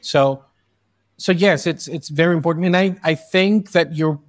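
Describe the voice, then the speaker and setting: Podcast host guy, slightly high voice, dry close sound, earnest and chatty. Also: moderate pace, dry recording. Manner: earnest, fluent with frequent restarts. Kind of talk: conversational interview. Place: in Spain.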